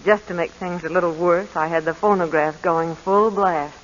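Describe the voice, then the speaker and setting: An actress playing an anxious, eager, sweet young wife with a flustered, pleading manner in a 1940s radio drama. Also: female voice, lowish voice, steady tone, normal speed, over loud music, close to an everyday voice. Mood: rueful. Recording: dull, lo-fi 1940s radio recording.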